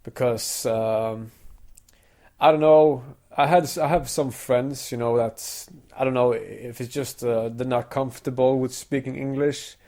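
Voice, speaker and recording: A man's voice: mid-range voice, steady tone, unhurried, warm tone. A man from Sweden whose first language is Swedish, hosting a podcast in casual chat and often repeating words as he gets going. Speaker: podcast host.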